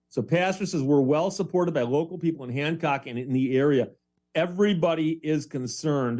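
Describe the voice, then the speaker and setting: A man's voice: mid-range voice, darkish tone. A middle-aged American man hosting a talk show, giving conversational, opinionated commentary and explaining his points as he goes.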